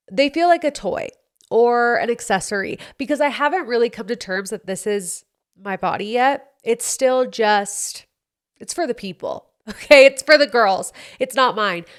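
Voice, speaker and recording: A young woman hosting her own podcast, chatting candidly and playfully about her week, her voice a bit congested. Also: fairly high pitch. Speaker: podcast host. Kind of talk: casual solo podcast chat. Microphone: studio mic.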